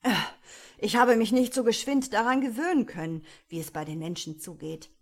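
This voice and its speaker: A woman's voice: fairly high pitch, animated, moderate pace, voice pushed up. A woman voicing a timid male will-o'-the-wisp spirit, out of breath, polite and eager in a slightly raised voice. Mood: breathless. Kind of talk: audiobook character voice.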